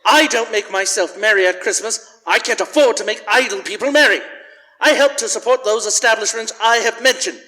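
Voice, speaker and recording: Casting: miserly old businessman, high forceful voice, curt, scornful, live radio play in a hall. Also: fairly quick. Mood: irritated, scornful. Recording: live in echoey church hall.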